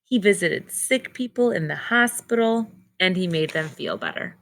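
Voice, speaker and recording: Woman with creaky voice reading to children. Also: fairly low pitch, animated, moderate pace, fairly bright tone. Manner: simple, child-directed explaining with short phrases. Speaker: teacher reading a picture book aloud to children. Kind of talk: read-aloud storytelling with simple explanatory asides.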